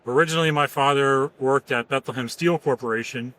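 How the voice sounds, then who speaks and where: An easygoing man from near Philadelphia answers interview questions. He tells his own story plainly and matter-of-factly, in a mid-pitched voice. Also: a little fast, a bit bright, rough recording. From Pennsylvania, near Philadelphia. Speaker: guest, company founder and former Marine. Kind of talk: casual interview.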